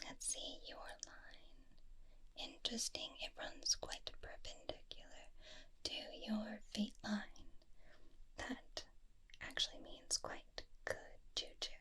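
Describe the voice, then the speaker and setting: A woman playing a flirty, free-spirited witch in an intimate ASMR roleplay, speaking in a soft, breathy whisper with a teasing, confiding manner. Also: on the high side, steady tone, slow pace, pauses often, exaggerated voice. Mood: teasing, intrigued. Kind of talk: ASMR roleplay, personal attention.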